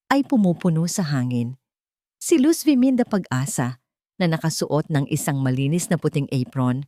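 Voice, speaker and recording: A woman with a Filipino accent narrating a scripted dramatic story in an expressive, measured way. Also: low voice, no echo, clean studio sound. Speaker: audiobook narrator.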